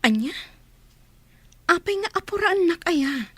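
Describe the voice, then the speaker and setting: A woman's voice: extremely high pitch, animated, a little slow, a bit bright, dry recording, exaggerated voice. An actress playing a coy, hesitant young woman being courted in an Ilocano radio drama. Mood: coy, flustered.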